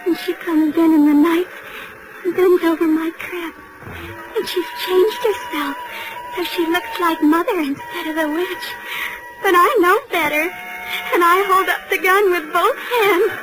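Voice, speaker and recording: A woman's voice: very high voice, a little slow, music in the background. An actress playing a troubled, haunted young woman in an overwrought, melodramatic 1940s radio detective drama style, on a noisy old recording. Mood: brooding, confessional, then hysterical, crying. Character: troubled, haunted young woman who believes herself evil.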